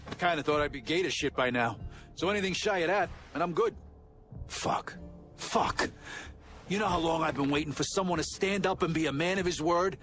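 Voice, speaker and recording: An actor playing a hard-bitten, foul-mouthed American gangster with a blunt way of talking. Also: male voice, a little high, fairly quick, fairly bright tone, soft background music, lightly acted. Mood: relieved, grateful.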